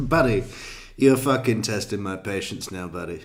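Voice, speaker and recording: Low, slow, sardonic male commentator. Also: big pitch swings, fairly bright tone. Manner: sardonic, joking, swears. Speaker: true crime commentator. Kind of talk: casual commentary over an interrogation video.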